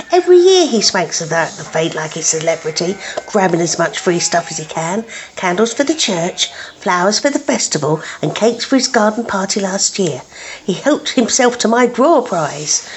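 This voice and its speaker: Resentful village murderess, played by a woman, comic radio drama, grumbling, indignant, mid-pitched, swooping intonation. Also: a little hiss or hum, close to an everyday voice. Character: resentful village woman, a cake-fete poisoner.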